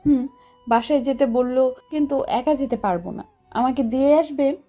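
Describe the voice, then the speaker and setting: A woman's voice: high voice, moderate pace, dark tone, close to an everyday voice. A woman playing a bossy, hot-tempered, romantic college girl in an audio love story. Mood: pleading. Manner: demanding, scolding, pleading. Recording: music bed under the voice.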